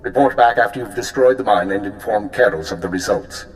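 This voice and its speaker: Man playing a low, cold imperial spymaster. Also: fairly quick, lightly acted, poor audio. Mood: grave, controlled. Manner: formal, clipped, controlled. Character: cold, measured male imperial intelligence spymaster. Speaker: voice actor in a video game. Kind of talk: dramatic game cutscene acting. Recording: game music under the voice.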